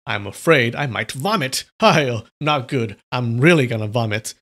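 A rowdy male swordsman faking drunkenness fills the pass with loud, slurring bluster. His voice sits a little high and carries, a man acting him out in a read-aloud story. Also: expressive, measured pace. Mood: boisterous drunk.

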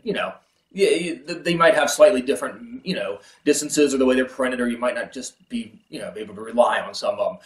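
A middle-aged man explains steadily to the camera in a small indoor studio, with a mid-pitched, everyday voice. His talk is earnest and methodical, with plenty of restarts and fillers. The sound is roomy and a little distant from the mic. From Pennsylvania, USA. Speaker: kayak fishing video host.

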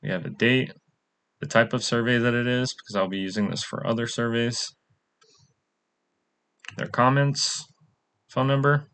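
A man's voice: medium pitch, moderate pace, pauses often, a bit bright. A young adult man, a coding student, casually walking through his web app on a screen recording with lots of "um" fillers. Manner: casual, many "um" fillers, plain walkthrough. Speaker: coding bootcamp student presenting a project. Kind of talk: screen-recorded project demo.

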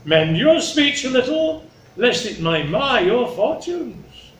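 A man playing a vain old king who demands flattery, in slow, stately, commanding declamation. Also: very high voice, voice pushed up, exaggerated voice. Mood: demanding. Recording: roomy, distant mic, faint noise.

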